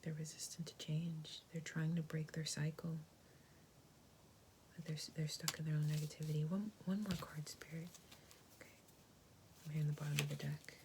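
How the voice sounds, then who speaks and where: A woman giving a tarot reading in a soft, gentle, reassuring whisper. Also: low-pitched, level tone, moderate pace, pauses often, noisy background. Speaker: tarot reader.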